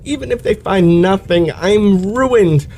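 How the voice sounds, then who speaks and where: A man's voice: high voice, slow, a little mellow, heavily acted. A man playing a pompous, self-pitying male lecturer with florid, precise elocution in an old-fashioned radio play. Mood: wounded, self-pitying.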